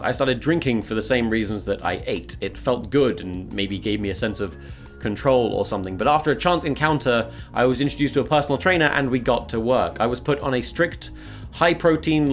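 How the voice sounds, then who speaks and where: Man, twenties, British accent, average pitch, personal storytelling, video creator narration, background music. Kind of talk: confessional personal storytelling, semi-scripted narration.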